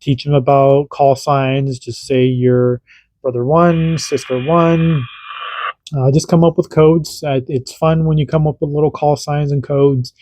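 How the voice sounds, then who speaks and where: A man's voice: fairly high pitch, level tone, a little slow, warm tone, no echo. A man and parent, hosting a casual hands-on radio demo and explaining in an informal, rambling way that often restarts sentences. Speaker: radio hobbyist host.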